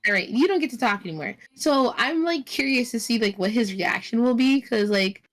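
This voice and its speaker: Female podcast co-host, higher voice, teasing banter with frequent laughs. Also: lively intonation, brisk, a bit bright. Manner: playful, teasing, laughs often. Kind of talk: casual chat.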